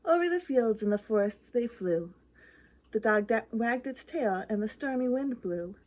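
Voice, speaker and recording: A woman with a fairly high voice reads a children's story aloud. Her delivery is expressive and rhythmic, leaning dramatically into the rhymes. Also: normal speed. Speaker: library storytime reader.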